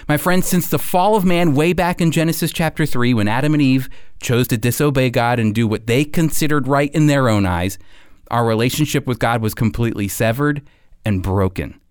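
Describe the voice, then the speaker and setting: A male preacher delivers a prepared teaching sermon with deliberate, emphatic phrasing. His voice sits at an ordinary high-to-middle male pitch, recorded dry and close with no room sound. He often turns to the listener with rhetorical questions. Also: clear, resonant tone, no room sound.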